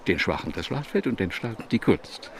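An actor playing an effusive, self-absorbed baroque monarch in a German radio drama, pompous and condescending in florid phrases. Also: male voice, lowish voice, very animated, a little fast, crowd noise. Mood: rapturous, pompous. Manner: pompous, gushing, florid, talks over others.

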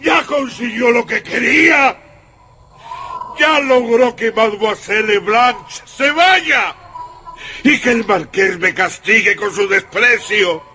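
A man's voice: extremely high pitch, bright, forceful tone, full character voice. An actor playing a desperate, ruined general in a radio drama, agitated and stammering as he blusters and pleads. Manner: agitated, stammering, repeating himself. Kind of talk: Spanish-language radio drama acting.

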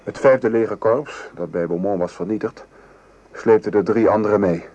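A man playing a weary French artillery sergeant in a radio play, gravely recounting the horrors of war. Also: lowish voice, moderate pace, dark tone, close to an everyday voice. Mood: grave, urgent. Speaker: actor. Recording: noisy old radio play recording.

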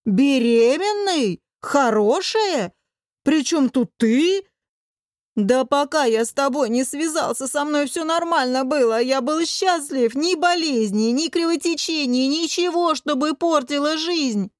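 A melodramatic young man, acted by a woman narrator, whining and hot-tempered in a comic fantasy audiobook. The voice is pushed very high and drags out its suffering complaints, recorded dry. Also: no echo, natural voice. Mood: furious. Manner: wailing, drawn-out complaining.

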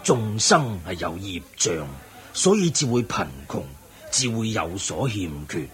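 Benevolent sage, low voice, very slow, expressive intonation, didactic, gracious, radio drama. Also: male voice, a faint crowd. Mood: calm teaching. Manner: measured, didactic, magnanimous. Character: wise, benevolent male Buddhist lay sage, calmly preaching. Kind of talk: Cantonese radio drama acting.

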